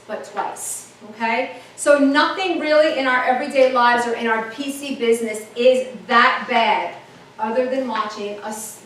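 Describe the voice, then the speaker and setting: A woman's voice: fairly high pitch, slight background noise. New woman, echoey and distant. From the Bronx, New York. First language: Italian. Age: 50s. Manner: animated, candid, keeps checking in with "okay?". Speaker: motivational speaker. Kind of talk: personal story told to an audience. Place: conference room at a retreat. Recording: echoey room from far off.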